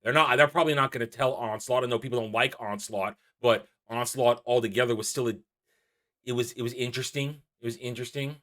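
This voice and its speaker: Guy youtuber doing opinion commentary, exasperated, repeats himself, leans hard on words, mid pitched voice. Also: fairly even intonation, sharp tone. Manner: exasperated, emphatic, repeats phrases with drawn-out stresses. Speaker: YouTube channel host. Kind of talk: opinionated commentary vlog on cartoons and comics.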